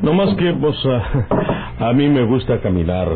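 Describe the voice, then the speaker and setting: An actor with a northern Mexican accent playing a folksy, domineering rural outlaw and smooth-talking lover in a radio drama. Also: male voice, medium pitch, big pitch swings, a little slow. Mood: reassuring. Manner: folksy rustic speech. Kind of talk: old-time radio drama acting. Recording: tinny, noisy old radio recording.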